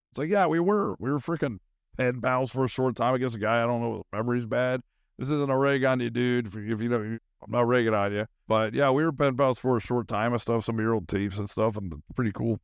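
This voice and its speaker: A middle-aged man with a fairly low, very clean and smooth voice rambles through a casual solo podcast. He sounds tired and a bit zoned out, drifting between half-finished thoughts in laid-back slang. The sound is close and dry. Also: dry recording. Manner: unfocused, meandering, laid-back slangy talk with trailing thoughts.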